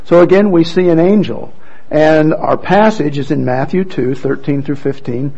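Gravelly man leading a church service. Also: a little high, moderate pace. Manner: relaxed, with light asides. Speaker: worship leader introducing readers. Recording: muffled church recording.

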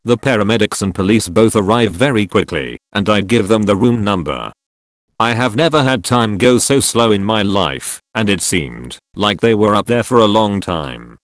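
A man narrating posts in an even, steady storytelling manner. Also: on the low side, no room sound, clean studio sound. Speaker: narrator reading online posts. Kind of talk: scripted narration.

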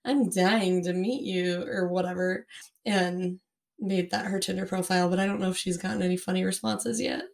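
A woman's voice: fairly low pitch, steady tone, moderate pace, creaky. A young American woman co-hosting a podcast in casual chat, her voice worn by a cold. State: recovering from a cold and lost voice. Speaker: podcast co-host.

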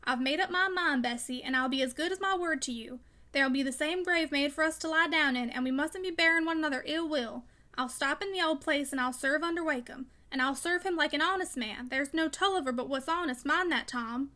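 A woman's voice: sits high, fairly quick, bright, forceful tone. A woman voicing a fierce, bitter, broken old father with peremptory rustic speech in a storybook reading. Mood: solemn resolve. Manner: peremptory, emphatic, dialect speech. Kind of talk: audiobook character dialogue.

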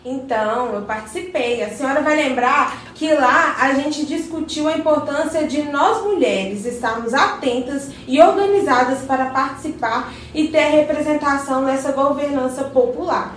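Radio drama role, for a woman: sincere young neighbour, high-pitched, very echoey recording. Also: normal speed, hardly any pauses, close to an everyday voice. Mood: earnest. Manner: earnest, inquiring, respectful. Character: Margarida, earnest pregnant younger neighbour woman. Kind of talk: scripted community radio drama. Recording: very echoey, distant mic, faint noise.

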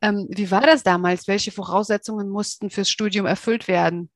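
A woman's voice: mid-range voice, fairly even intonation, moderate pace, no room sound. Dry-sounding podcast host woman. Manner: friendly, prompting questions. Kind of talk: interviewing on a podcast.

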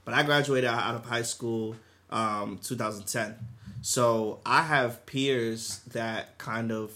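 A man in his twenties sharing personal experience in a casual, halting, slangy conversational way. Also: mid-range voice, fairly even intonation, sharp tone. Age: 20s. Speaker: podcast panelist. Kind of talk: casual chat, personal anecdote.

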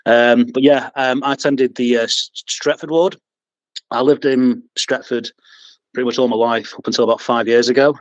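A man's voice: mid-range voice, fairly even intonation, moderate pace, no room sound, dull audio. A man with a Mancunian accent, chatting as a podcast guest, hesitant with lots of ums and restarts, chuckling at himself. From England. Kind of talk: casual interview chat.

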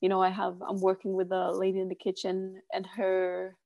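Gravelly-voiced Swedish-native woman guest. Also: mid-range voice, monotone, moderate pace, a little mellow. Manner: hesitant, frequent "you know" fillers. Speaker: guest chef. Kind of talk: casual interview chat.

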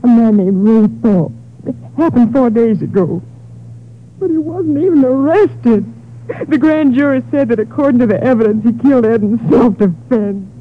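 An American actress playing a bitter, pleading young widow with a baby in a 1950s radio drama, speaking tearfully and with passion. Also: female voice, a little high, normal speed, lightly acted. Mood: bitter, insistent. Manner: tearful, sobbing, impassioned. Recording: tinny, narrow-band old radio recording.

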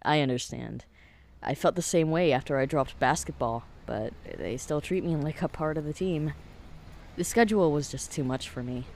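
A woman's voice: low-pitched, moderate pace, a little mellow, a little hiss or hum. A woman playing a friendly, easygoing teenage boy in an audio drama, casual and supportive. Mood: sympathetic. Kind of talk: naturalistic audio drama acting.

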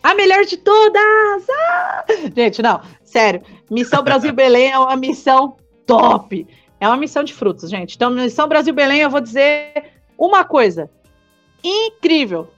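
Guest: high, bubbly São woman in her twenties, slangy and laughing, on slightly muffled audio with light music. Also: moderate pace. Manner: excitable, laughing often, slangy. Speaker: podcast guest, returned missionary. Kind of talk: casual interview chat.

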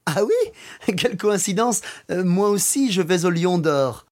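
A man's voice: high-pitched, animated, normal speed, darkish tone. A man playing a friendly, helpful passer-by in a language-course dialogue, polite and conversational. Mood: pleasantly surprised. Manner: polite, conversational, natural hesitations.

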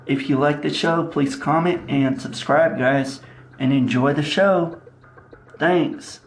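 A man's voice: fairly high pitch, slow, a little hiss or hum. A man hosting a podcast, giving a casual introduction to an episode. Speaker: podcast host. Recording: echoey room, music behind. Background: plucked guitar music.

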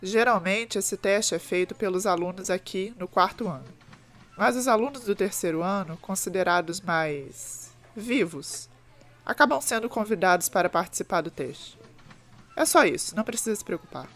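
A woman's voice: mid-range voice, lively intonation, normal speed, a bit bright, natural voice. A woman playing an authoritative yet reassuring schoolteacher who gives instructions in a radio drama, with a Brazilian accent.

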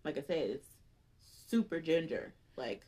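A woman's voice: low voice, animated, a little slow, mellow, a little hiss or hum. A woman in her thirties co-hosting a casual podcast, telling stories with exaggerated indignation and laughing often. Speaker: podcast co-host. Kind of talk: casual chat.

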